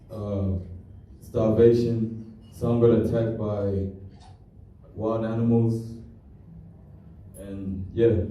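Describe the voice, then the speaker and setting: A young man born in Kenya and raised in Australia tells his family's refugee story as a halting, plainspoken presentation. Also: on the low side, mellow. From born in Kenya to South Sudanese parents, raised in Australia. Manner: halting, restarts often, plainspoken. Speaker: student presenter. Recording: very echoey hall from far off.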